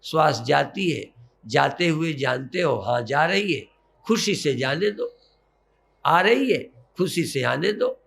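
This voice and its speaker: A man guides a breathing meditation in the way of a spiritual teacher. His voice sits a little high for a man and has a marked gravelly creak. He speaks very slowly, coaxing gently and repeating short phrases. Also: lively intonation.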